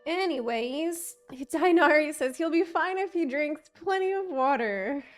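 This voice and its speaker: A woman's voice: high-pitched, lively intonation, unhurried, soft background music. A woman game streamer giving casual live reactions in an informal, slangy, exclamatory way.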